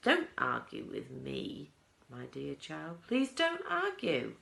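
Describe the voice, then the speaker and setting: An eccentric male inventor from a children's storybook, as a woman reads him, bossy and boastful. The voice swoops up and down excitedly in a roomy, echoing space. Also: low voice, creaky, heavily acted. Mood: scolding, urgent. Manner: exclamatory, hurried, boastful, scolding. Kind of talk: children's storybook character reading. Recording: roomy, echoing indoor sound.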